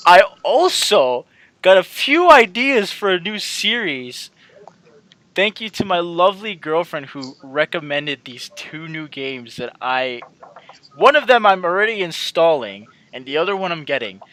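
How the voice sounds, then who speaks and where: A man's voice: sits high, sing-song, a little slow, a bit bright, poor audio. A man doing casual let's-play commentary as a channel host, rambling and repeating himself as he talks to his viewers.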